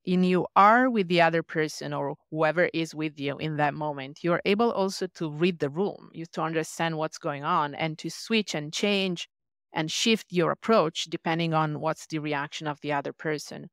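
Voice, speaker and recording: A woman's voice: low-pitched, normal speed, smooth, no room sound, studio quality. A woman with an Italian accent, a leadership coach, speaking thoughtfully as a guest in a podcast interview. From Italy. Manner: thoughtful, reflective, earnest.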